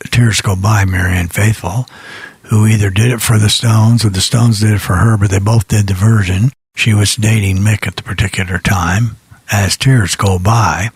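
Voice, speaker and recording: A man's voice: low voice, breathy edge, no room sound. A middle-aged or older man hosting a rock music radio show, chatting in a folksy, rambling way as if thinking aloud on a close studio microphone. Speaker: radio DJ and host.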